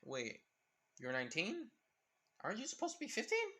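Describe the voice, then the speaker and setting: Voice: mid-range voice, wildly swooping pitch, normal speed, bright, forceful tone, voice pushed up. A narrator doing a blunt, confused young girl. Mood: puzzled. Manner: puzzled questioning.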